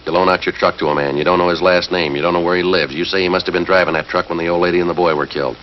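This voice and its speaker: Man acting as American police sergeant, extremely low voice, terse, deadpan, methodical, 1950s TV drama, muffled old soundtrack. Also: very fast, slight background noise, lightly acted. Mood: firm, businesslike. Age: 30s. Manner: clipped, matter-of-fact, deadpan. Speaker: lead actor in a police drama.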